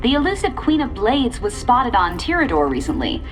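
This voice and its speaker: A woman's voice: fairly high pitch, wildly swooping pitch, normal speed. Poised woman news reporter. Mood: composed, serious. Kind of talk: crisp broadcast news delivery. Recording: music behind the voice.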